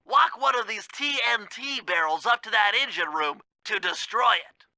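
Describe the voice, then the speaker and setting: A brainy little cartoon gadget expert, briefing a video game mission. The voice is high, nasal and very sharp, with precise, technical phrasing. It sounds dry and close. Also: male voice, expressive, normal speed, no room sound, heavily acted. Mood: matter-of-fact.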